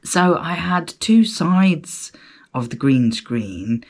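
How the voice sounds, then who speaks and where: Croaky woman, slow chatty podcast talk. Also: low voice, expressive, a little mellow. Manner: chatty, rambling, self-deprecating, with fillers and laughs. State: croaky throat from a cough. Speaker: podcast host. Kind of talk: solo casual podcast chat.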